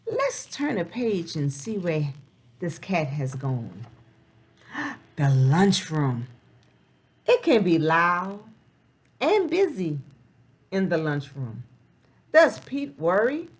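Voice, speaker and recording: A woman reading a picture book aloud to young children, expressive and sing-song, posing questions as she goes. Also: on the high side, husky. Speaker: children's storybook reader.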